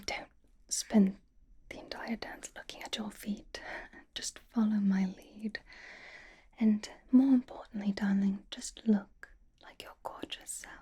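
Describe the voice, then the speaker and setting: A woman voicing a doting, possessive, elegant vampire in an intimate, coaxing, breathy whisper for an ASMR roleplay. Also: medium pitch, dark tone. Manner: intimate, coaxing, slow and genteel.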